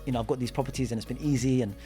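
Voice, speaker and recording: A man, a podcast guest telling his story in a relaxed way, full of "you know" and restarts. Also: mid-range voice, racing, faint music behind. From London. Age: 30s. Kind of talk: casual interview chat. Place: studio.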